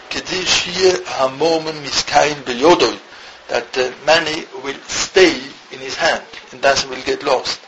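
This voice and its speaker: A man from Switzerland teaching a Torah lesson in halting non-native English, quickly reading out Hebrew text and then translating it. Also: a little high. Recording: muffled with loud background hiss.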